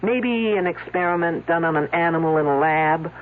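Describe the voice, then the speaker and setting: An actress playing a wisecracking, hard-nosed police detective in a 1970s radio drama, sardonic and probing. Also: female voice, on the low side, moderate pace, close to an everyday voice. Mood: probing, dry. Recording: muffled lo-fi radio, humming noise.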